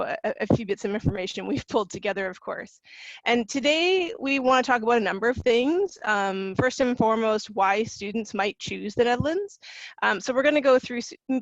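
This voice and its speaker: A woman's voice: mid-range voice, no echo, dull audio. A Canadian woman presenting on a video call, conversational, with frequent fillers and restarts. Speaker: university recruitment officer. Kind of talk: webinar presentation.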